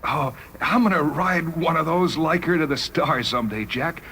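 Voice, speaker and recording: A man playing an excited, starry-eyed boy who dreams of being a spaceman, speaking breathlessly and insistently. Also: on the high side, normal speed. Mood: boastful glee. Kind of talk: dramatized short story acting. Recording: noisy vintage radio drama recording.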